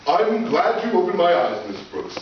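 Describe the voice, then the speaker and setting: A man's voice: high-pitched, big pitch swings, normal speed, dull audio. A man playing a vain, pompous school principal with grandiose, florid delivery in old-time radio comedy style. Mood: indignant grandiose. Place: live stage performance in a reverberant room. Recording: echoey distant stage recording, faint noise.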